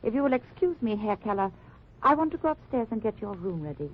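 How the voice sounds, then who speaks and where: A woman acting a secretive, weary German resistance wife in a wartime radio play. She speaks in careful, earnest, formal phrases at a medium pitch. The vintage recording is muffled with faint noise. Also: big pitch swings, fast, lightly acted. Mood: polite, composed. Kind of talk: 1940s-50s radio drama acting. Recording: muffled, noisy old-time radio recording.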